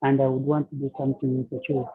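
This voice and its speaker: A man from Uganda, a network engineer, attending a webinar and speaking haltingly with repeated words. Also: mid-range voice, completely flat delivery, slightly rough, dry recording. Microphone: video call. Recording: tinny, dry video-call audio.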